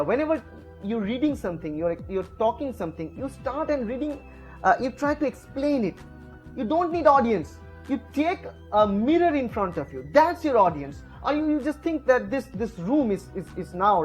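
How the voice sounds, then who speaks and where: Animated Indian man lecturing. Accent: Indian English. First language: Bengali. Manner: animated, pitch swinging up and down, many fillers and restarts. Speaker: educator. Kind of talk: lecture to camera. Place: room, alone with camera.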